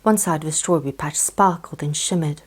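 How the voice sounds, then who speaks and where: Low, breathy woman telling bedtime stories. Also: brisk. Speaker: children's storyteller. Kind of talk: gentle scripted bedtime story narration.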